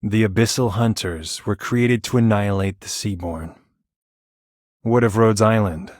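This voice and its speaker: An actor playing a stern, cold hunter in a video game, speaking in a grave and blunt way. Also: male voice, on the low side, unhurried, dry recording, studio quality, lightly acted. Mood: grave challenge. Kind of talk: game character voice acting.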